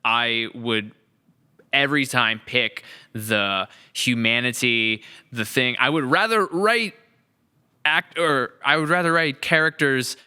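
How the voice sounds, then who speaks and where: A man's voice: medium pitch, slow, sharp tone, smooth. A man hosting a casual podcast interview, talking in a wordy, thoughtful, rambling way. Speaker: podcast host and series writer.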